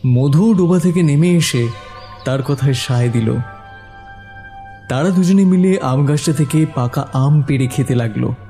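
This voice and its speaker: A man's voice: a little high, a little slow, mellow, soft background music. A man narrating a scripted horror story as its storyteller.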